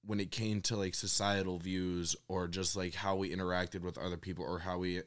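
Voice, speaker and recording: A man's voice: low voice, level tone, clean tone. A young man talking casually with family on a podcast, rambling through his thoughts with lots of fillers. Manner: rambling, many fillers, quick to laugh. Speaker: family guest.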